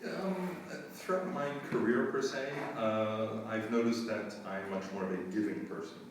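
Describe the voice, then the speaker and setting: Low-voiced man, echoey and distant. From United States. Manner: earnest, measured, with frequent filler pauses. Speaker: school administrator. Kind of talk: interview answers. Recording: roomy echo, distant mic, faint noise.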